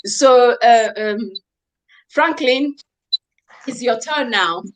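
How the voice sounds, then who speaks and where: A woman with a high-pitched voice hosts a livestream discussion. She lectures her audience earnestly, circling back to the same points and mimicking what people say. She is heard over a video call. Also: extremely slow, low-quality recording. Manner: preachy, repeats points, quotes people in mock voices. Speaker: livestream host. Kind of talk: earnest advice to viewers.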